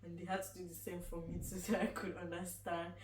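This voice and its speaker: A young woman with a Nigerian accent talks in a relaxed, chatty way and breaks into laughter often. She sits some distance from the mic in an echoey room, with faint noise underneath. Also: lowish voice, a little fast. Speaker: guest student. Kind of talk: casual chat.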